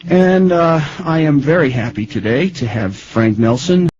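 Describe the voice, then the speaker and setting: An American man interviewing as a radio host, with a halting, deliberate way of introducing things. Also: on the high side, expressive, poor audio.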